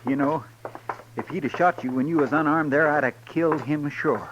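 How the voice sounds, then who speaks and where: A man's voice: a little high, moderate pace, natural voice. A loyal, plain-spoken frontier deputy with a country American drawl, played by a male radio actor. He sounds folksy and respectful. The old radio western recording is dull and plainly hissy. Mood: concerned. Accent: rural American drawl. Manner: folksy, deferential. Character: loyal, simple, deferential country deputy sidekick. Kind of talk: 1950s radio western acting.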